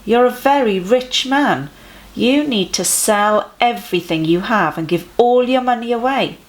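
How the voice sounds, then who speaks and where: A woman voicing a friendly, earnest male teacher in a children's storybook, emphatic and gently instructive. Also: a little high, expressive, a little slow, pitched above the performer's own voice. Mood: firm, instructive.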